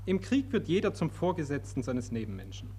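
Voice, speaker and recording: An actor playing a biting, sardonic pessimist with pointed, caustic delivery in a 1940s radio play. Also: male voice, a little high, big pitch swings, brisk, dark tone, slightly rough, heavily acted. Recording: old radio recording, faint hiss.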